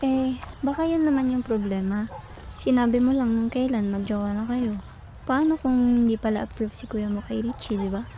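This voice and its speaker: A woman with a Filipino accent playing a calm, reasonable young best friend in a radio drama. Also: on the high side, normal speed, slight background noise, close to an everyday voice. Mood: calm, soothing.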